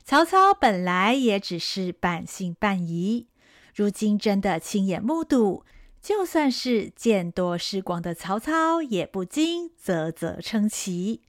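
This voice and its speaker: A woman's voice: mid-range voice, big pitch swings, takes it slowly, dry recording, studio quality. A woman narrating a historical radio drama in a vivid, dramatic storytelling style.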